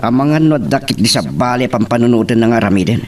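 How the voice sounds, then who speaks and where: A man's voice: mid-range voice, animated, fast, darkish tone, distant mic, a little hiss or hum, natural voice. A man playing a hot-headed young man in an Ilocano romance drama, with an Ilocano accent. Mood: troubled.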